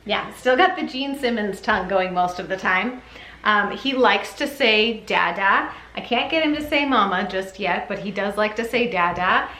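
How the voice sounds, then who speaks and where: A woman's voice: mid-range voice, normal speed, sharp tone, slight background noise. A woman talking casually and chattily for a parenting vlog, rambling a little in a giggly, informal way. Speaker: parenting vlogger, mother. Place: upstairs bedroom at home. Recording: echoey room.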